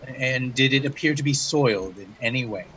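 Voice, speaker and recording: An actor plays a male interviewer with a crisp-edged, bright voice of middling pitch. He questions formally and methodically in a clinical audio drama manner, over a faint wash of rain-like background noise. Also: a little fast, lo-fi sound, natural voice. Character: measured, probing male interviewer examining a dreamer.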